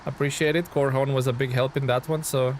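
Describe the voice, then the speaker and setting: A man's voice: medium pitch, fairly even intonation, brisk, a little hiss or hum. A man from Lithuania chatting loosely on a live game stream, reading out and answering viewer comments with plenty of fillers. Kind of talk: casual live-stream chat.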